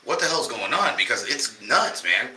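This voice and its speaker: Man, livestream co-host, casual and profane, slightly nasal, bright voice, roomy echo. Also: mid-range voice, sing-song, moderate pace, recorded from a distance. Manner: loose, profane, joking. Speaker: podcast co-host. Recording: roomy echo, off-mic at times.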